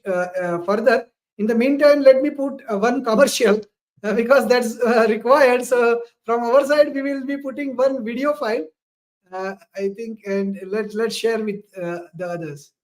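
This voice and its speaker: A man's voice: high voice, a little mellow. A man hosting an online event live, speaking hesitantly with many filler sounds. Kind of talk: live stream moderation.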